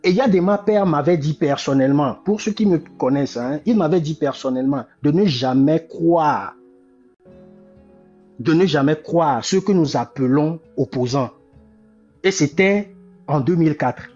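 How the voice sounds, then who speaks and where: A man's voice: fairly high pitch, moderate pace, mellow, muffled recording, soft background music. A man, making impassioned political commentary and repeating key phrases with many restarts. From Togo. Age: 40s. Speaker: commentator on a live discussion.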